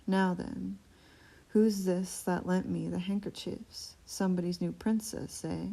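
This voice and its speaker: A woman voicing a gruff old dragon with a cold. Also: lowish voice, fairly even intonation, measured pace, mellow, rough recording. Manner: clipped, folksy phrasing.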